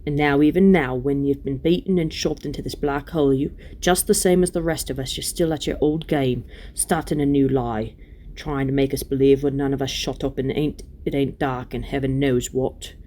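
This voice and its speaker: A woman voicing a surly, stubborn male dwarf in a storybook reading, grumbling gruffly and scornfully in colloquial speech. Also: extremely low pitch, steady tone, moderate pace, dark tone, slight background noise, pitched below the performer's own voice. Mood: indignant.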